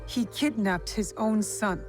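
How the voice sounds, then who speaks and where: A woman's voice: mid-range voice, slow pace, close to an everyday voice. A woman playing a young, defiant and determined warrior of feudal Japan in a video game, blunt and challenging. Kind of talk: cinematic video game acting. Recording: faint music behind the voice. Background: faint background music.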